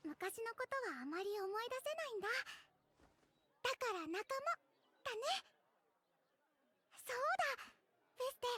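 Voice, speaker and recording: A woman's voice: very high voice, pushed and bright, exaggerated voice. A woman voicing a small, feisty, cute yet scheming girl in an anime game cutscene, with theatrical delivery. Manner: theatrical, switching between sweet girlishness and haughty elder-like speech. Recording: music behind the voice.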